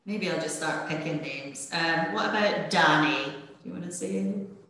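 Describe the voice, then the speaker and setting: A woman instructor on a video call, her voice sitting on the low side and ringing with strong room echo. She talks in a friendly, hesitant way, with lots of "um"s as she guides the group. The sound is that of a webcam mic in a bare room. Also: normal speed, fairly bright tone. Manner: friendly, hesitant with frequent fillers. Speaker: dog training instructor. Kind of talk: leading a volunteer training call. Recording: very echoey room.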